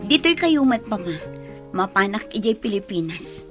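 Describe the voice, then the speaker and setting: A woman's voice: medium pitch, very animated, moderate pace, faint music behind, lightly acted. A woman with a Filipino accent playing a headstrong, jealous rich daughter and wife in an audio drama. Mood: insistent, sulky.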